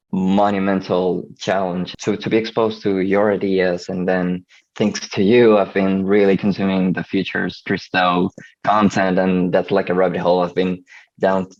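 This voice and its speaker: A man's voice: deep voice, little pitch movement, measured pace, lo-fi sound. A man from Venezuela, a video editor talking over a call in a hesitant way, restarting his words often. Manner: hesitant, frequent restarts and stammers. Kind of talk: casual video call conversation.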